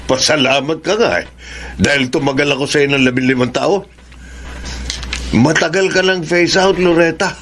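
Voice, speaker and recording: An actor playing a cold, scornful middle-aged husband in a Filipino radio drama. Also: male voice, a little high, normal speed, pauses often, a bit bright.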